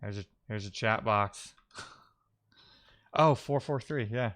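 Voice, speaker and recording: A man with a fairly low, clean and resonant voice talks through code on a live stream. He thinks out loud casually, muttering to himself as he troubleshoots and dropping wry little asides. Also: slow pace. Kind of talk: casual thinking-aloud tech commentary.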